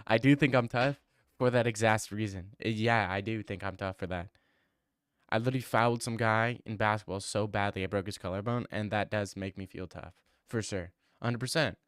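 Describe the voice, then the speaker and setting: A man's voice: fairly low pitch, clear, resonant tone, dry recording, clean studio sound. A young man streaming and answering viewer comments in a casual, teasing way, full of mock bravado.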